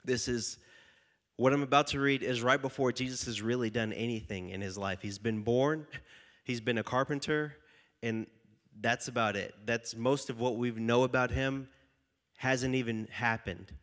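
A man's voice: mid-range voice, moderate pace, fairly bright tone. Young man, youth pastor, stuffy nose, slightly nasal, casual joking sermon, reads scripture.

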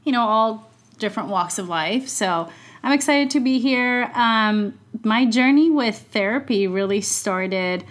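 A woman's voice: a little high, expressive, unhurried. A woman in her thirties, a coach born in Iran, chatting casually and upbeat as a podcast guest. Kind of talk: casual interview chat.

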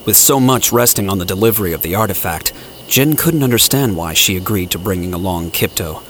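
Low-voiced man narrating an audio drama. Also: lively intonation, fairly quick, fairly bright tone, slight background noise.

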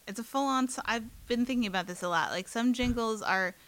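A woman's voice: fairly high pitch, a bit bright. A woman co-hosting a podcast, chatting casually in a playful, joking way. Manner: playful, quick to joke. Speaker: podcast co-host. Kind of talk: casual chat.

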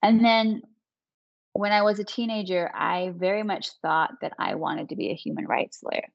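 A woman's voice: mid-range voice, big pitch swings, normal speed, creaky, dry recording, dull audio. A woman in her thirties from England with a Manchester accent, telling her story as an interview guest and laughing easily. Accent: English, Manchester. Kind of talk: interview conversation.

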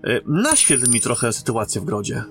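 A man acting a courteous royal emissary and captain in a fantasy audio drama, calm and authoritative. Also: medium pitch, normal speed, soft background music.

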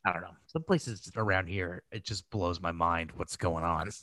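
A man's voice: low-pitched, normal speed, bright, forceful tone. A man chatting casually on a podcast, telling stories in a rambling, loose way with some swearing. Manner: profane, rambling storytelling. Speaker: podcast host. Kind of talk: casual conversational podcast chat.

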